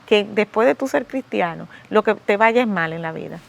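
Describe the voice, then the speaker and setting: A woman's voice: medium pitch, animated, moderate pace, creaky. A woman with a Dominican accent hosting a faith interview, attentive and often murmuring agreement. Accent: Dominican Spanish. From Dominican Republic. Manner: attentive, frequent affirming murmurs. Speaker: interview host.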